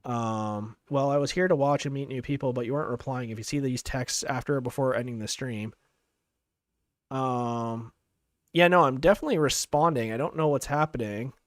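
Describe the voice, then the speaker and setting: Young canadian guy on a chill game stream, rambly, lots of likes and ums, reads chat out loud, normal mid voice. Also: medium pitch, moderate pace, no echo, studio quality. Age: young adult. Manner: rambling, filler-heavy storytelling, reads chat aloud. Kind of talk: casual live-stream chat while gaming.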